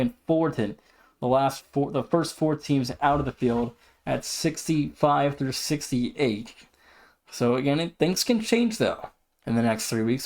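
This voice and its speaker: A man's voice: medium pitch, a little mellow. A man co-hosting a sports podcast, commenting casually with an announcer-like, emphatic way of repeating himself. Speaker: podcast co-host.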